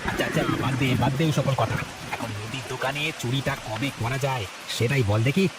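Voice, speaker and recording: A man's voice: mid-range voice, steady tone, quick pace, loud music behind the voice, close to an everyday voice. A man voicing a sly, scheming village thief in a cartoon, theatrical and wheedling. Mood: conspiratorial. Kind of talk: cartoon voice acting. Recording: clear ambient noise behind voice.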